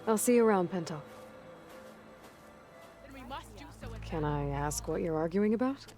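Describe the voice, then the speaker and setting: An actress playing a determined young warrior heroine in a video game, plain-spoken and dry. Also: female voice, fairly low pitch, expressive, fast, over music, natural voice. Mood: curious, wry. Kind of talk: video game cutscene acting.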